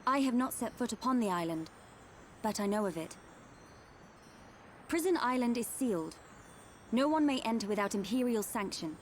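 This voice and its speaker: A woman acts a noble young guide in a game cutscene. Her voice is on the high side, with measured, gracious, highly formal phrasing and an earnest poise. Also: animated, a little hiss or hum, lightly acted. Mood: earnest, grave. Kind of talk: video game cutscene acting.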